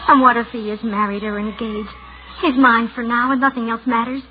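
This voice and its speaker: A woman's voice: a little high, level tone, brisk, natural voice. An actress in a 1940s radio drama playing a lonely, self-doubting woman's agitated inner voice. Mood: wistful, conflicted. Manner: agitated self-questioning, arguing with herself. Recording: tinny band-limited vintage radio recording, loud music.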